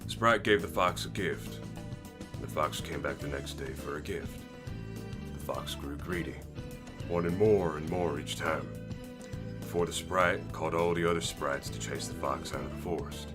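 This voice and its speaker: A man playing a genial older man who shares moral tales in a measured, thoughtful way for an audio roleplay. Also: very deep voice. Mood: reminiscing. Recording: music behind the voice.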